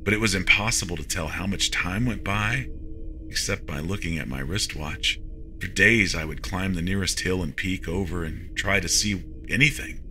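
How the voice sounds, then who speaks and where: A very low-pitched man narrates a scripted horror story in the first person. His voice is strikingly bright and forceful, with music playing behind him. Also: moderate pace.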